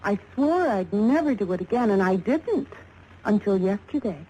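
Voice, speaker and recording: An actress playing a shaken but tough young American fashion model in a 1950s radio drama. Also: female voice, medium pitch, expressive, moderate pace, mellow, natural voice. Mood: scornful. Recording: noisy vintage radio recording.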